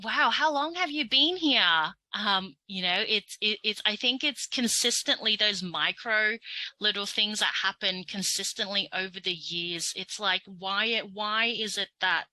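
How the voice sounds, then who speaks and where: A woman's voice: mid-range voice, unhurried, pushed and bright, no room sound, low-quality recording. A young Australian woman with an Australian accent, hosting a casual conversation, talking openly with frequent ums and restarts. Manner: chatty, with many fillers and restarts. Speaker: podcast host.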